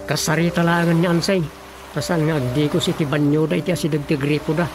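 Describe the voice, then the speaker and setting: A man's voice: a little high, steady tone, quick pace, music in the background, natural voice. An actor playing a sly, deferential village councilman in a radio comedy drama.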